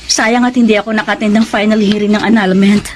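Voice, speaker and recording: A woman with a Filipino accent playing a gentle, forgiving, emotional wife in a radio drama. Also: medium pitch, little pitch movement, quick pace, a little hiss or hum, natural voice. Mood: nervous. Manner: soft-hearted, emotional.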